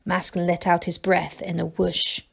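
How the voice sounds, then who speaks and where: A woman reading a children's story aloud as a teacher would, in expressive narration. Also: fairly low pitch, moderate pace, vocal fry, breathy edge.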